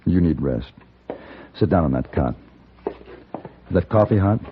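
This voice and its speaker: Calm western gun for hire, low voice, protective, radio drama, dull sound, background noise. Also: male voice, unhurried. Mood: gentle. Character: calm, protective, commanding male gunfighter for hire. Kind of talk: 1950s radio western acting. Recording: dull, noisy old radio recording.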